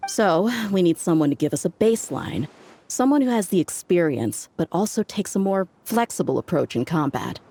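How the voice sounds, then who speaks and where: A woman's voice: lowish voice, lively intonation, moderate pace, mellow, breathy edge. A woman playing a confident, easygoing mercenary in a video game, casual and cocky in her talk. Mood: matter-of-fact. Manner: casual, cocky, plain-spoken. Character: confident, easygoing female mercenary, breezy and brash. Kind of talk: video game character dialogue.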